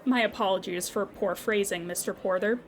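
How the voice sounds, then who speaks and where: A woman playing a patient, courteous insurance customer service agent in a scripted audio comedy, speaking formally and with measured politeness. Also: medium pitch, a faint crowd, lightly acted. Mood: patient, apologetic. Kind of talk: scripted comedy audio drama phone call.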